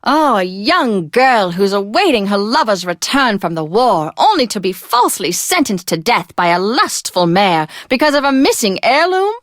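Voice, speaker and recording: An actress playing a posh, flamboyant, tipsy classical radio DJ in a video game, with a gushing, affected, genteel manner. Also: female voice, mid-range voice, very animated, moderate pace, hardly any pauses, no echo, studio quality, close to an everyday voice. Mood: indignant, dramatic. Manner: theatrical, affected, genteel and effusive.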